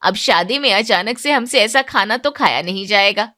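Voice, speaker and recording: A woman's voice: mid-range voice, lively intonation, moderate pace, pushed and bright. A woman voicing a bossy but devoted middle-aged mother of the bride in a cartoon moral story, emphatic and no-nonsense. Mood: scolding.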